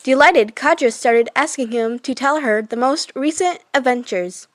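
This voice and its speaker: A female voice, clearly high in pitch, reads a children's story aloud. The narration is scripted, and the sound is very dry. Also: expressive, unhurried, no echo. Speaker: storybook reader.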